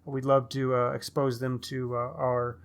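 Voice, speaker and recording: A man's voice: medium pitch, completely flat delivery, measured pace, clear, resonant tone. A man co-hosting a book podcast, talking in a casual, chatty style. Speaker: podcast co-host.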